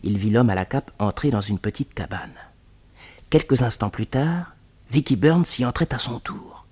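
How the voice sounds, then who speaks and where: A man's voice: medium pitch, animated, normal speed, darkish tone, slightly rough. A man narrating a French detective serial in a dramatic, scripted storytelling style.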